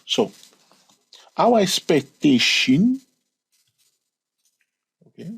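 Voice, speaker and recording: A man's voice: on the high side, big pitch swings, extremely slow, vocal fry, husky. A man hosting his channel, walking through a chart lesson, stretching key words and repeating counts. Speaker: trading educator and channel host. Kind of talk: screen-recorded chart lesson.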